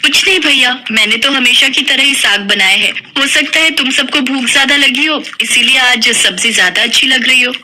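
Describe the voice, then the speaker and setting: A woman voicing a gentle, devoted young village sister in a folk tale, tender and anxious to please. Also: sits high, normal speed, few pauses, a little hiss or hum, rough recording. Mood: nervous.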